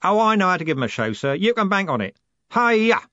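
A man's voice: a little high, big pitch swings, normal speed, a bit bright, no echo, voice pushed up. Man doing a cheery, boastful coachman. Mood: eager. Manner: eager, boastful. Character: cheery bold male coachman, showman. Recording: dull, dry recording.

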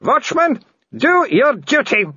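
A man voicing an imperious older aristocratic werewolf woman with a shrill, drawn-out delivery. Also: big pitch swings, pushed and bright, no room sound, highs cut off, full character voice. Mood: furious. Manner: shrill, drawn-out, screaming in rage.

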